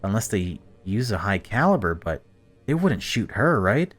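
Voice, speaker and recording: Low-voiced man hosting a gaming video. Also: big pitch swings, normal speed, faint music behind. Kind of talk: dramatic read-aloud and casual commentary on a visual novel.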